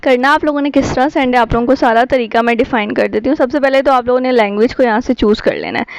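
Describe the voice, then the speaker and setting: A woman's voice: on the high side, fast talker, no echo. A woman, an Urdu speaker, narrating a casual app tutorial as a YouTube host.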